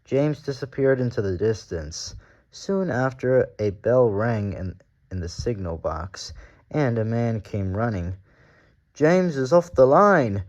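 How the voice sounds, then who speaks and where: A man's voice: fairly low pitch, a little slow, warm tone, lo-fi sound. A man narrating a children's storybook aloud as the story reader.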